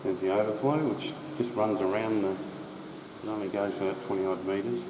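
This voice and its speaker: A laid-back man with a fairly low voice rambles as he walks through an underground drain. His words bounce around the concrete tunnel, and a steady rush of noise sits underneath. He swears freely and talks in a loose, unhurried way. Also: reverberant. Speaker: drain explorer host. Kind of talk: casual walkthrough narration.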